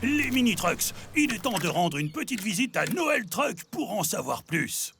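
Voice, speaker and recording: A man's voice: high voice, unhurried, creaky, slight background noise, exaggerated voice. A man voicing a jolly old grandfather truck who leads youngsters in a children's cartoon, in a theatrical manner. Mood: rousing, briefing. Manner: theatrical, animated. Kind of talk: animated children's cartoon acting. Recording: music bed behind the voice.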